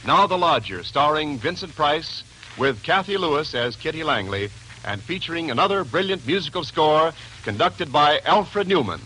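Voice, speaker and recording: A man announcing formally and emphatically in a showy, measured 1940s radio style. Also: fairly high pitch, animated, bright, forceful tone, a little hiss or hum, rough recording. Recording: old 1940s radio broadcast, music behind.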